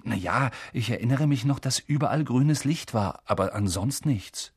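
A man doing a quiet modest boy. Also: medium pitch, animated, moderate pace, husky, no room sound, studio quality. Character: quiet, modest eleven-year-old boy.

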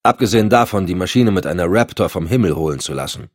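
A man's voice: low voice, expressive, fast, no echo, studio quality. A man voicing a stern, decisive president in an audiobook, speaking with authority. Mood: grave. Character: firm, authoritative male president cutting off quarrels. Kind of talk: audiobook dialogue.

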